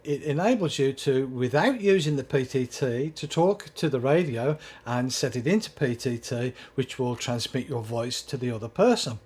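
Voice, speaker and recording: A man's voice: medium pitch, moderate pace, few pauses, a little mellow, husky. A man narrating a scripted product demonstration in a methodical, step-by-step explaining manner. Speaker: product reviewer.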